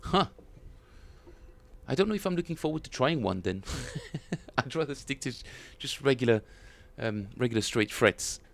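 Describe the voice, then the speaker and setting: A man with a French accent, chatting casually and self-mockingly with viewers as he streams his bass practice, often chuckling. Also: lively intonation, moderate pace, gravelly. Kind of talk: casual livestream chat.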